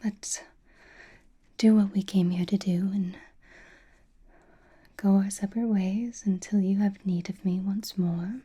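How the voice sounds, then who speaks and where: Breathy whispering vampire played by a woman. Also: medium pitch, steady tone. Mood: teasing, attentive. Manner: intimate, slow whispering with sighs and soft hums. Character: flirtatious, possessive vampire companion, teasing and reassuring. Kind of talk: whispered ASMR roleplay.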